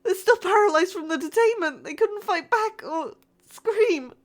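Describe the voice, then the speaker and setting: A very high-pitched young-sounding female spaceship crew member, acted by a woman, caught in a sci-fi horror audio drama. She speaks slowly and haltingly, with raw dramatic emotion. Her fear comes with pleading and a streak of defiance. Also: animated. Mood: horrified, torn.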